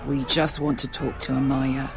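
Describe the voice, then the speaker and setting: An actor playing a hardened, cynical sellsword in a video game, giving blunt, grim warnings. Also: male voice, medium pitch, level tone, moderate pace, natural voice. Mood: firm. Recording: clear outdoor background noise.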